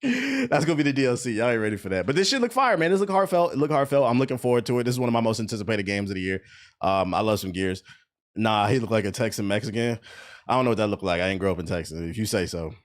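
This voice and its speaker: An American man reacts casually on a live stream. His voice is animated and swings widely in pitch, and he talks in loose, sweary slang. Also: quick pace. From US East Coast. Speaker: streamer reacting to game trailers.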